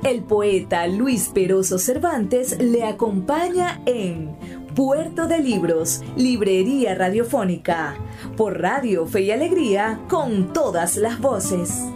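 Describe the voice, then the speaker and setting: A woman reading a radio station promo in a slow, lilting announcing way. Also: mid-range voice, very animated. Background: music.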